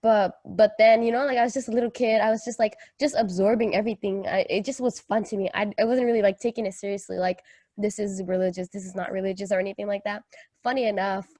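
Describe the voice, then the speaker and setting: Young female podcast co-host, casual and jokey storyteller, slangy, mid-pitched, dry recording. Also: fairly even intonation, quick pace, warm tone, no room sound. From Kenya. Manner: informal slangy talk, jokey. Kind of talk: casual chat, personal storytelling.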